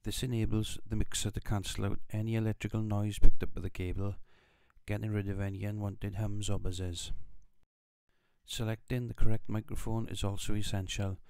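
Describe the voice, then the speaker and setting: Low-voiced man narrating a tutorial. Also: flat delivery, moderate pace, vocal fry, a little mellow, clean tone, no echo. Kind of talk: scripted narration.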